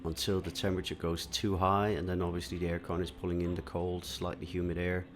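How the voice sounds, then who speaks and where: A man from Denmark giving calm solo commentary on a pool match, explaining shots and answering viewer chat. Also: low voice, a little fast, soft background music. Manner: relaxed, explanatory, many "uh" fillers.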